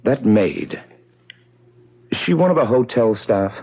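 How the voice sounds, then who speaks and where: An actor playing a gruff, watchful, suspicious guard with a rough, husky voice in a 1970s radio drama. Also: male voice, on the low side, sing-song, a little slow, full character voice. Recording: dull 1970s radio broadcast.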